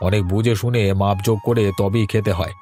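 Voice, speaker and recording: A man playing a proud older village patriarch, gruff yet caring, in an audio drama. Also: fairly low pitch, level tone, brisk, dark tone, faint music behind, lightly acted. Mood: kindly. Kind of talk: Bengali audio story drama acting.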